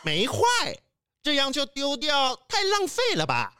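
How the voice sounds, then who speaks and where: A woman's voice: sits high, wildly swooping pitch, a little slow, pushed and bright, dry recording, studio quality, exaggerated voice. A woman playing an eager, easily amazed young deliveryman in a children's audio drama. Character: eager, wide-eyed young male deliveryman, thrifty.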